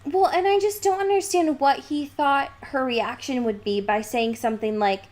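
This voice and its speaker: A woman's voice: high voice, fairly even intonation, moderate pace, darkish tone. A woman co-hosting a podcast, discussing a book in a casual, slangy, emphatic way with exasperated asides. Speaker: podcast co-host.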